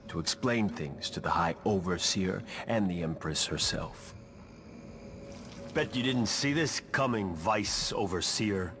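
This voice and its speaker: An actor playing a proud, vengeful gang boss in a video game, with a deliberate, menacing delivery. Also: male voice, deep voice. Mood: smug, businesslike. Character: dangerous gang boss, proud, vengeful, defending his city.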